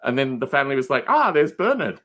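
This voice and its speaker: A man with a lively, swinging pitch tells stories as a podcast guest. He rambles and digresses in a jokey, casual way. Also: fast. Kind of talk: casual storytelling chat.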